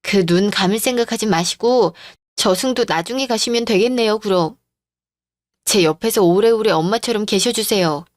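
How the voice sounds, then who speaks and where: A woman's voice: medium pitch, moderate pace, no echo, studio quality, close to an everyday voice. A woman playing a devoted, patient middle-aged daughter-in-law and mother in a radio-drama style story. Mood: gentle, resolute.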